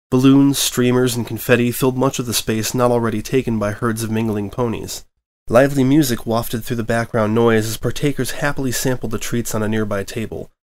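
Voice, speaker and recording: A man reading fan fiction aloud as an expressive storyteller, in scripted narration. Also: mid-range voice.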